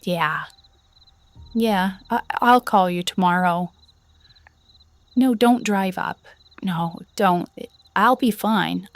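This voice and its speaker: Casting: actress for heartbroken woman in audio roleplay. Natural, halting, slow delivery with vocal fry, faint music underneath. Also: medium pitch. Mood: weary, resigned. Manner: halting, sighing, conversational. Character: heartbroken betrayed woman retreating to a cabin. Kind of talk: audio roleplay drama, one side of phone call.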